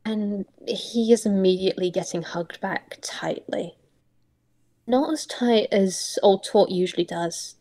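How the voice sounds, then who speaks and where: Slow-paced woman narrating roleplay. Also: medium pitch, warm tone. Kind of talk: improvised story narration.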